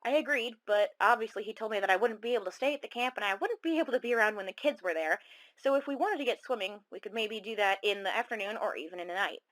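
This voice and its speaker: A woman acting as a self-conscious caller on a horror radio drama. Her mid-pitched voice is rueful and confiding, wandering through a conversational monologue with small hesitations. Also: quick pace, fairly bright tone, close to an everyday voice, low-quality recording. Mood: reflective, uneasy.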